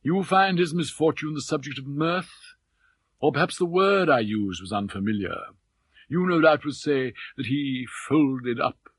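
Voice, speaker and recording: A man voicing an eccentric elderly father in an audiobook, mild, plaintive and gently mocking, with British Received Pronunciation. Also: a little high, a little slow, husky, no room sound, pitched above the performer's own voice. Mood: mock courteous.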